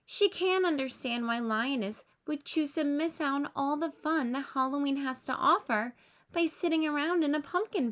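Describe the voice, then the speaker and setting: A woman reading a children's story aloud with expressive narration aimed at young listeners. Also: high-pitched. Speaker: storybook reader.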